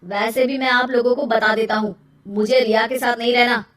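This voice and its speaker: A woman voicing a self-centred, spoiled young man with a blunt, insistent manner in an animated moral story. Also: fairly high pitch, moderate pace, natural voice. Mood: blunt, annoyed. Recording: roomy echo, distant from mic.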